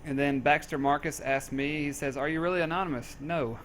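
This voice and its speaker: A man's voice: fairly high pitch, fairly even intonation, fairly quick, a bit bright, slight background noise. A man with a Southern US accent, reading out and answering community questions in a casual, joking, easygoing way. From southern United States. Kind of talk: casual group Q&A.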